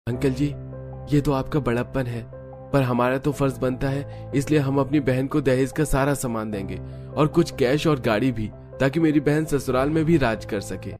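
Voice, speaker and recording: A man's voice: mid-range voice, moderate pace, music in the background, pitched above the performer's own voice, close to an everyday voice. A man voicing a proud, insistent young brother of a bride in a cartoon, polite but assertive.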